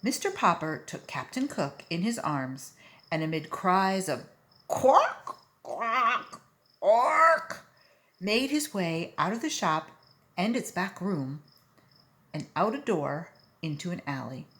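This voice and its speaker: Animated, low-pitched woman reading a storybook. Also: a little slow. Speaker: volunteer story reader. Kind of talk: expressive children's storybook narration.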